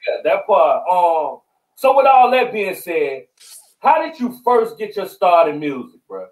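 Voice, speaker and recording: High-voiced, lively man in an echoey room. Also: unhurried. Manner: easygoing, affirming, street slang. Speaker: interview host. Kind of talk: casual interview talk. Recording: echoey room, distant from the mic.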